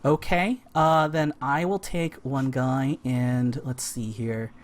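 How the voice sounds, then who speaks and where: A man's voice: medium pitch, fairly even intonation, slow, a little hiss or hum. A man hosting a board game stream, chatting casually and with enthusiasm as he plays. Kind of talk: casual chat while playing a board game.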